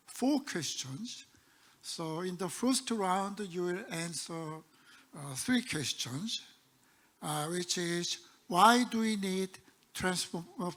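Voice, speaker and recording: Moderator, man, high voice, slow deliberate delivery, halting with fillers. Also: fairly bright tone. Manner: hesitant, many fillers and restarts, measured. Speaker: panel moderator. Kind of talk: conference panel moderation.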